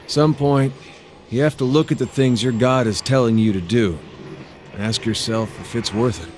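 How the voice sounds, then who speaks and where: An actor playing a weary, plainspoken adventuring companion in a fantasy video game, with a folksy, laconic way of talking. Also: male voice, mid-range voice, fairly even intonation, moderate pace, darkish tone, slight background noise, lightly acted. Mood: weary, reflective. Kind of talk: video game character dialogue.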